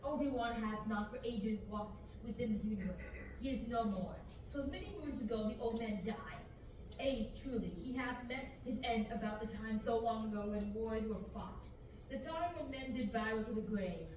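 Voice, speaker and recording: A young woman reading the part of a stern middle-aged farmer uncle in Shakespearean verse at a student table reading, steady and measured. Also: on the high side, natural voice. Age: young adult. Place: large classroom, indoors. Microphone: far camera. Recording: echoey room, from far off, background hiss.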